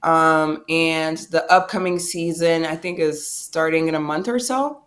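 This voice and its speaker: Young woman chatting as an interview guest. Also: low voice. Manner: enthusiastic, quick to agree, plain-spoken with some swearing. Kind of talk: casual interview chat.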